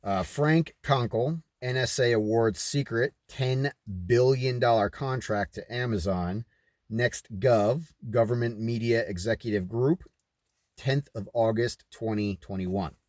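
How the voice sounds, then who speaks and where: A man's voice: medium pitch, measured pace, darkish tone, no room sound. A man reading aloud and adding his own off-the-cuff commentary, with emphatic, rhetorical delivery. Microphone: recording himself on camera.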